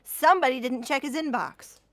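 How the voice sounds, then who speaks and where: A woman's voice: sits high, brisk, dry recording, voice pushed up. A woman voicing a teasing, wry teenage girl who is fond of her friend, in a video game fandub. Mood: teasing, indignant.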